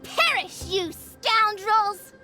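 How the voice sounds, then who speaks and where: A tiny, haughty game spirit with an extremely high, squeaky voice. The delivery is theatrical and swings widely in pitch. Game music plays clearly behind it. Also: female voice, lively intonation, very slow, full character voice. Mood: haughty, defiant.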